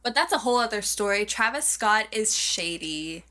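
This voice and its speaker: A young woman co-hosting a podcast in a casual, gossipy chat, animated and slangy, swearing freely. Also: mid-range voice, moderate pace. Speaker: podcast co-host.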